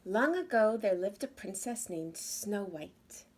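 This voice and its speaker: A woman's voice: mid-range voice, expressive, takes it slowly, darkish tone, a little hiss or hum. A woman reading a children's story aloud expressively, as if to young listeners. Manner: addresses young listeners with questions. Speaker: storybook reader.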